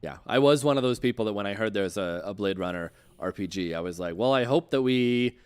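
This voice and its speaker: Lowish voice guy hosting a stream interview, casual and kinda rambly, informal. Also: lively intonation, fairly quick, smooth. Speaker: interview host. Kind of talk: casual livestream chat.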